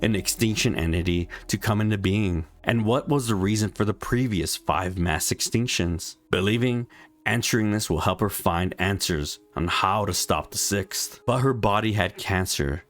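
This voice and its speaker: Low-voiced man narrating a story recap. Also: moderate pace. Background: background music.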